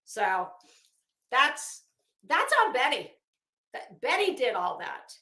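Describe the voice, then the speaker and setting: A woman's voice: a little high, very animated, slow, bright, forceful tone. A woman hosting a livestream, giving a theatrical, sarcastic reading with off-the-cuff commentary. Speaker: livestream host. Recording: echoey, roomy sound.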